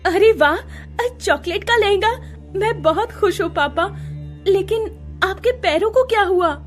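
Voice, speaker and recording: A woman's voice: lively intonation, unhurried, faint music behind. A woman voicing a stubborn, emotional young bride and doting daughter in a story. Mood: delighted. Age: young adult. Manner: high, loud, emotive.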